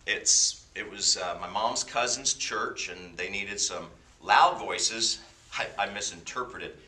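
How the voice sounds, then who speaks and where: Bright-voiced man preaching from a distance. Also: fairly low pitch, takes it slowly. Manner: jokey, self-deprecating asides. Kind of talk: informal sermon with personal stories. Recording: roomy sound from away from the mic.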